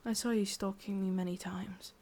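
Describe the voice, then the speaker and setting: A woman with an English accent playing an ancient, lovelorn witch in an ASMR roleplay, speaking intimately in soft, breathy whispers with musing pauses. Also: medium pitch, steady tone, close to an everyday voice. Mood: soothing, coaxing.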